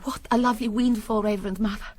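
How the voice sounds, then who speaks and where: A woman's voice: mid-range voice, steady tone, fast, darkish tone, natural voice. A woman playing a chirpy, eager, obedient nun in a radio drama. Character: chirpy, obedient Spanish nun, cheekily practical.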